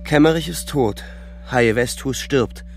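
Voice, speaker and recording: An actor playing a weary young German frontline soldier, narrating the war in a grim, terse literary radio-drama style. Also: male voice, medium pitch, a little slow, soft background music, lightly acted. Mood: bleak, bitter.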